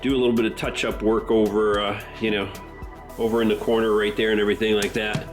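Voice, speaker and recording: Casual hobby host: low-pitched man, stuffy nose from the flu, easygoing rambling delivery, faint music underneath. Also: fast. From Southern California. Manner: relaxed, rambling, many fillers. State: congested from the flu. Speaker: model railroad hobbyist host. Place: home layout room.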